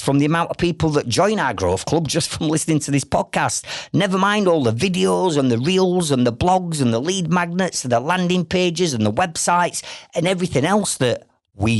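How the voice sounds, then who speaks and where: A middle-aged Yorkshire man talks straight to his listeners as a podcast host, pressing his points with one rhetorical question after another. His voice sits a little high for a man and swings up and down with lively energy. The sound is dry and close. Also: dry recording. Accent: Northern English, Yorkshire. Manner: direct, persuasive, full of rhetorical questions. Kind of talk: solo business podcast monologue.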